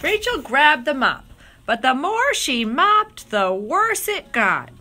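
A bright, high female voice reads a children's storybook aloud. Her delivery swings widely in pitch and stretches phrases for drama. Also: slight background noise. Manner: expressive, drawn-out dramatic phrasing. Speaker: storybook reader. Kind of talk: animated read-aloud of children's picture book.

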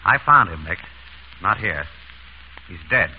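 An actor playing an urbane, wry surgeon turned amateur sleuth in an old-time radio drama. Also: male voice, low voice, lively intonation, a little slow. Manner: urbane, wry, composed under threat. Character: suave, quick-witted male surgeon turned amateur sleuth. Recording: tinny, noisy vintage radio recording.

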